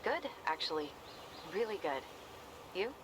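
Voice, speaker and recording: A woman's voice: fairly low pitch, big pitch swings, a little slow, background noise. An actress playing a wry, affectionate young American woman in a video game, chatty and dryly playful. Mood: open, reflective. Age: young adult. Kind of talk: naturalistic video game dialogue.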